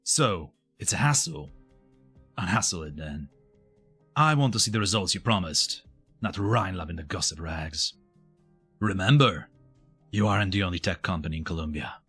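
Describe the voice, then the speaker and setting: Fan-dub casting: a man as a deep-voiced, impatient colonel. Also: expressive, normal speed, pauses often, fairly bright tone, slightly rough, soft background music, heavily acted. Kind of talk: amateur fan dub acting.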